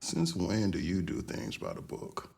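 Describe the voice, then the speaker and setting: Male mechanic, deep voice, unhurried, gruff, laconic, grumbling, video game cutscene. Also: huge pitch swings, darkish tone, exaggerated voice. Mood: grumbling, dry. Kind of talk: naturalistic game cutscene dialogue.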